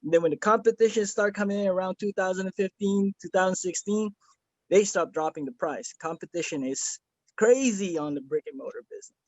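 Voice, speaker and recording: A man's voice: sits high, brisk, no room sound, rough recording. A man from the Philippines giving an earnest, rambling testimonial over a video call, with many fillers and restarts. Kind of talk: casual video-call chat.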